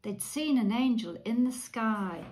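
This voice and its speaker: A woman's voice: on the high side, expressive, a little slow, darkish tone. A woman reciting a storytelling ballad in verse. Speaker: church group leader reading aloud.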